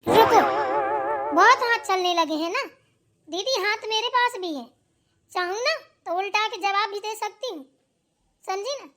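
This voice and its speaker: Woman doing a very high-pitched cheeky young sister-in-law. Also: measured pace, pauses often, a bit bright. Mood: defiant. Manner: mouthy, argumentative. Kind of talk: Hindi family drama cartoon dialogue.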